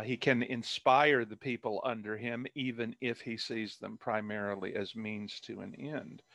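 A man's voice: mid-range voice, a bit bright. A man, an author, chatting casually in an interview over a video call, rambling with many fillers and restarts.

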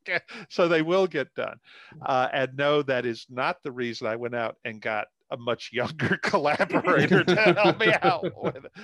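A man's voice: medium pitch, a bit bright, no room sound. Older man, dry close sound. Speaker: guest author. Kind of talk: casual chat about writing.

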